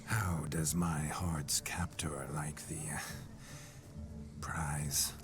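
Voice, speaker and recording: Casting: a slow, low-voiced ghost-possessed seducer, wry and smug, with game music behind. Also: male voice, level tone, full character voice. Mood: flirting. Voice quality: deep and low, often near a whisper. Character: man possessed by a noble ghost, gruff, flirtatious, smug. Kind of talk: video game cutscene voice acting.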